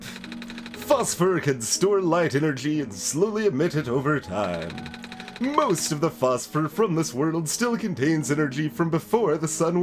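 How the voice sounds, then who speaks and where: A man voicing a gentle talking plant, a botanist in a game, with drawn-out, wistful musing. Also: on the high side, voice pushed up. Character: gentle plant expert, a talking potted plant in shirt.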